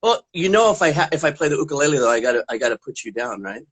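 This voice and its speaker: A man's voice: a little high, very animated, very fast, fairly bright tone. A man speaks as a guest puppeteer on a children's show. He explains things casually, in short simple steps that small children can follow. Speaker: guest puppeteer and ukulele player.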